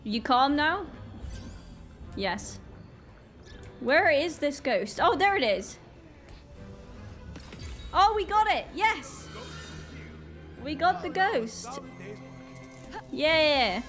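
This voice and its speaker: Slow-talking sick young woman gamer. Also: high voice, sing-song, a bit bright, faint music behind. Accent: Northern Irish. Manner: flustered, exclaiming often. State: sick, voice a bit off. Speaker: gaming YouTuber. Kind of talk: casual let's-play commentary.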